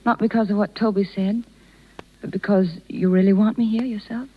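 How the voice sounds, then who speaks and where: A woman's voice: medium pitch, steady tone, moderate pace, natural voice. An American actress playing an earnest, tender young woman circus rider in a 1930s radio drama. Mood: moved, hopeful. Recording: muffled 1930s radio broadcast recording.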